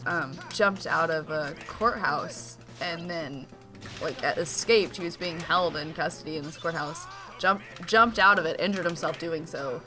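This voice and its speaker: A man co-hosting a game playthrough, chatting and telling an animated story. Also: on the high side. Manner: animated storyteller, laughs easily. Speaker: let's play co-host. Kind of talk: casual chat over gameplay. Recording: faint game music behind.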